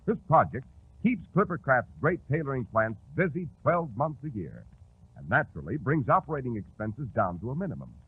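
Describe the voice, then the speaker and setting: Animated American vintage radio announcer, male. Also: mid-range voice, fairly quick, slight background noise. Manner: emphatic, polished selling delivery. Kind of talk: 1940s radio advertisement, scripted sales pitch. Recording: tinny 1940s radio recording.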